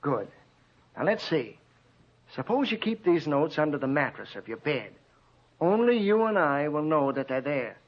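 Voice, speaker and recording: A muffled old radio recording of an American police detective. His voice sits somewhat high, and he questions in a calm, measured, coaxing way, as in vintage radio drama. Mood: coaxing, confidential.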